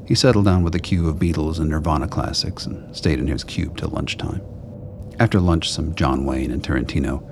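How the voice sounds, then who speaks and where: A man with a very deep, gravelly voice reading scripted narration for a radio play. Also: fairly quick, noisy background.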